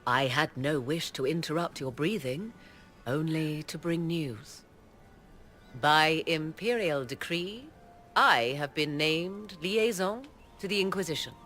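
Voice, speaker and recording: An imperious court sorceress with a cool, aloof air. Her phrasing is formal and archaic, each word placed deliberately. It is a dramatic fantasy game performance with music underneath. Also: sits low, a bit bright.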